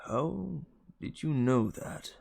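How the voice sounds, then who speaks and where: A grave old centaur teacher from a fantasy storybook, voiced by a man. He sounds weary and stern, measured in his solemn delivery, with a creaky, slow voice. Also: mid-range voice, lively intonation, a little mellow, voice pushed up, exaggerated voice. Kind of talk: dramatic children's audiobook reading.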